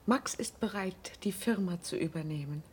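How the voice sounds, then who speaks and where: Husky, steely middle-aged woman. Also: lowish voice, a little mellow. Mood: cool, curt. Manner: controlled, deliberate, pointed. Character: cool, steely wife and mother, calculating. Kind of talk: German radio drama acting.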